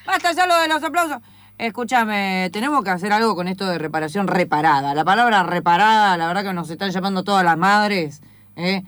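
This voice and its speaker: A woman's voice: medium pitch, brisk, fairly bright tone, no room sound, lightly acted. A woman with an Argentine accent playing a vain, indignant neighbour who insists and repeats herself in improvised radio comedy. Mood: scolding. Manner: insistent, repetitive, grumbling. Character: indignant, vain neighbour woman, a self-declared footprint reader.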